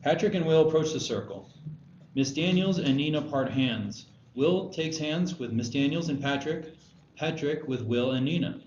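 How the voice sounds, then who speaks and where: A man's voice: on the high side, expressive, moderate pace, darkish tone. A man reading stage directions as narrator at a screenplay table read. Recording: dull echoey room, noisy.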